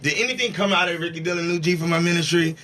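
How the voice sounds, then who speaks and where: A man's voice: on the high side, racing, sharp tone. A man from the United States, a gospel singer, talking candidly and emphatically as a guest in a radio studio interview, laughing often.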